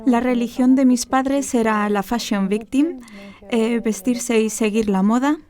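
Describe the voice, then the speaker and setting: A woman in her twenties from France calmly recounting her personal story in an interview, reflective and earnest. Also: fairly high pitch, measured pace. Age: 20s. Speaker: interviewee, graduate student. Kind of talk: personal testimony.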